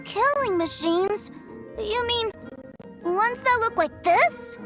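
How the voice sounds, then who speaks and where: A woman voicing an excitable, trusting little boy in a video game, with an eager, boastful, childish way of talking. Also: very high-pitched, slow, loud music behind the voice, full character voice. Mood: puzzled. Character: excitable, trusting little boy who adores toy robots. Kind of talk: animated video game voice acting.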